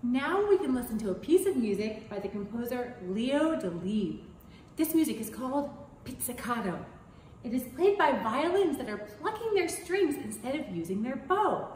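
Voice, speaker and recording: High, lively woman teaching children. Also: moderate pace. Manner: animated, sing-song. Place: studio in front of green screen. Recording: very echoey room, faint hiss.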